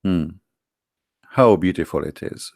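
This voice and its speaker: Host, fairly low voice, dry close sound, scornful and emphatic delivery. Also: male voice, animated, a little slow, gravelly, a little mellow, no echo. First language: Arabic. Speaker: online debate host. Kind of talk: combative commentary to viewers.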